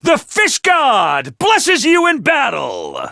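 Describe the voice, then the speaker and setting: A hyper, gleeful game mascot who commands troops, his very high voice swooping wildly up and down. He drags out his words slowly and theatrically, with a sharp, tense tone. The studio sound is dry and close. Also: wildly swooping pitch, creaky, no echo, heavily acted. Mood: excited urgency. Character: manic, mischievous small male academy mascot commander. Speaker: game character voice lines. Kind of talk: game voice acting.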